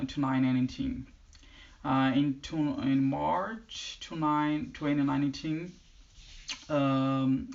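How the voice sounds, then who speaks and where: A man from Brazil, speaking English with a Brazilian Portuguese accent as a non-native speaker, talking casually to camera with halting phrases and frequent restarts. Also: mid-range voice, gravelly, lo-fi sound. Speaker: channel host. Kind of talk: casual vlog talk. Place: indoors in the UK.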